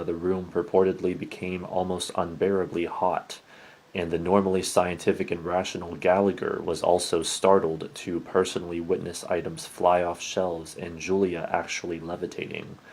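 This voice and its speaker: A man narrates allegedly real paranormal tales in a very deep, husky voice. His delivery is formal and measured, with little rise and fall in pitch. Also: a little mellow, low-quality recording. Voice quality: low, husky.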